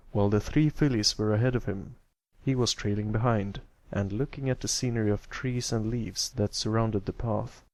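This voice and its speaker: A man's voice: lowish voice, a little mellow, no room sound. A man reading a story aloud as an amateur narrator, in scripted storytelling style. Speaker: amateur fan fiction narrator.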